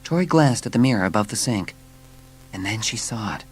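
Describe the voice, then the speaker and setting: A woman's voice: rumbling low, expressive, brisk, darkish tone, a little hiss or hum, low-quality recording. A woman reading scripted third-person narration for a dramatised audiobook.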